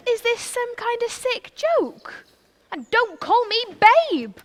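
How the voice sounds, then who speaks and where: An actress playing a haughty, indignant eighteen-year-old princess in a theatrical stage pantomime. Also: female voice, very high voice, sing-song, takes it slowly, a bit bright, heavily acted.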